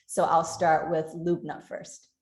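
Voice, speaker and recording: A woman moderating a virtual panel discussion, friendly and halting with frequent fillers. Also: low-pitched, little pitch movement, a little mellow, reverberant. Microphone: video call.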